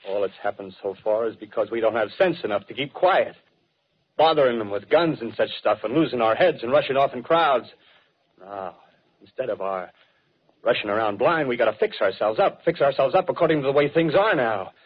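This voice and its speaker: Fanatical soldier, husky, vintage radio. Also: male voice, mid-range voice, expressive, a little fast. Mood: agitated, bitter. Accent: American. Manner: colloquial tough-guy talk, clipped phrases, insistent. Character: fanatical rough-spoken soldier survivor, scheming to rule. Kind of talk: 1930s American radio drama acting. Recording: dull, muffled 1930s radio broadcast recording.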